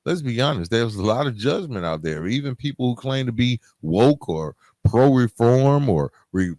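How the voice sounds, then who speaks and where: A man hosting a podcast solo, talking informally and conversationally, thinking aloud in a halting way. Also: medium pitch, big pitch swings, a little mellow, no room sound, studio quality. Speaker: podcast host.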